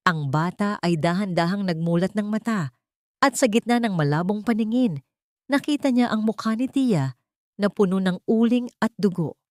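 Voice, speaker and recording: A woman's voice: medium pitch, moderate pace, darkish tone, no room sound, studio quality. A woman narrating a melodrama audiobook in a dramatic, emotive storytelling manner.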